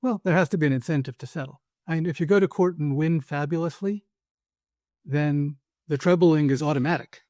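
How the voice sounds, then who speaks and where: Man, guest lawyer, interview, mellow tone, slightly high voice, measured careful answers. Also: no room sound, clean studio sound. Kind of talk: conversational interview.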